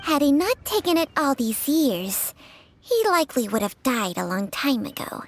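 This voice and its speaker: A woman voicing a grave, wise female snake spirit in a video game, speaking in a solemn, measured way. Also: sits high, very animated, heavily acted.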